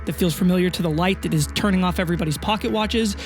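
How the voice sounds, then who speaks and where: A woman narrating a tabletop roleplay audio drama as game master, casual in wording with dramatic pauses. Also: lowish voice, soft background music.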